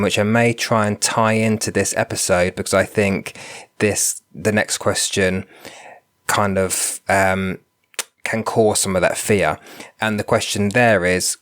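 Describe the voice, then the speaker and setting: Male podcast host, slightly low voice, stuffed up and nasal with a cold, reflective solo talk. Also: fairly bright tone. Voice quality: nasal, bunged up. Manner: thoughtful, repeats words while thinking. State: congested from a cold. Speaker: podcast host, spiritual medium.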